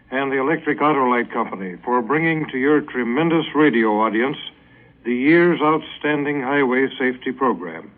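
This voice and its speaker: A man reading a formal scripted award presentation on radio, as the head of an organisation. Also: medium pitch. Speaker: safety council president presenting an award. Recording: thin phone-like vintage radio recording.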